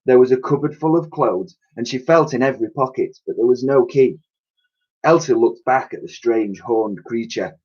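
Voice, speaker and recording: A male teacher narrates a children's adventure story aloud. His voice is mid-pitched and somewhat husky, with expressive storytelling phrasing. The recording is slightly dull and lo-fi. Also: moderate pace. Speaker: primary school teacher reading aloud.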